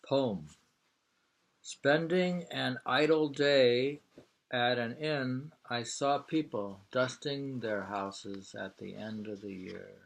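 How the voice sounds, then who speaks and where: A man reading aloud from a book in an unhurried, musing way, with casual conversational asides. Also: medium pitch, steady tone, gravelly, rough recording. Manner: unhurried, musing, pausing to hum and remark. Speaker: reader of a travel book and poems, commenting.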